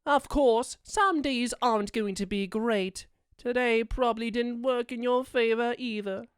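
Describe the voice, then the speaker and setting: A woman plays a doting owl demon prince with a distinctly high voice, recorded close and dry. He speaks in florid, regal phrases, theatrical yet affectionate, as a comforting character in an audio roleplay. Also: unhurried, smooth, dry recording, close to an everyday voice. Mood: doting, reassuring.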